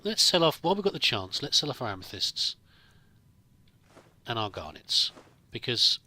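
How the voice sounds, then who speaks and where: A man's voice: mid-range voice, big pitch swings, normal speed, bright, forceful tone. A man giving casual, improvised roleplay commentary over a video game, musing and thinking aloud as he plays. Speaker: gamer, let's play host.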